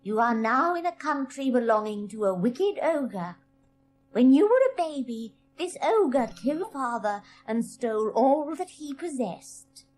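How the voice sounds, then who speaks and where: A woman voicing a mysterious, knowing old woman in a fairy tale, speaking softly and higher than her own voice. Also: expressive, a little slow, slight background noise, rough recording. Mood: hushed. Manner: soft and hushed.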